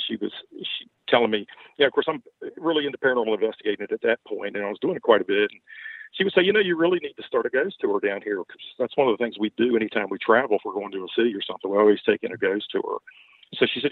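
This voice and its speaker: Older man, light southern accent, low gravelly voice, folksy and rambling, tinny phone-line sound. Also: no echo. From Baltimore, Maryland. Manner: folksy, rambling, chuckles often. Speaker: ghost tour owner. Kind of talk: podcast interview over a call.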